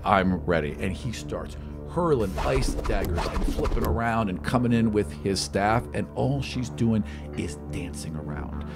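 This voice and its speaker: Low-voiced man narrating a tabletop fantasy game. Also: vocal fry. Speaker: game master.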